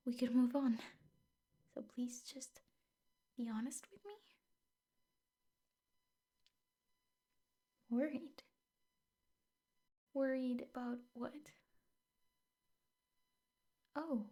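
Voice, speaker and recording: A woman playing a possessive, obsessive yandere teacher girlfriend in an ASMR roleplay, speaking softly and often whispering, coaxing and doting with menace underneath. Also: fairly high pitch, fairly even intonation, takes it slowly, pauses often. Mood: gently coaxing. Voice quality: soft, breathy, often whispering. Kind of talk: ASMR roleplay, intimate scripted monologue.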